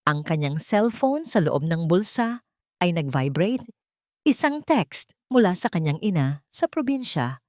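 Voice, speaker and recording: A woman with a Filipino accent narrating a scripted dramatic story in a solemn, deliberate, literary way. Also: fairly low pitch, big pitch swings, darkish tone, no echo, studio quality.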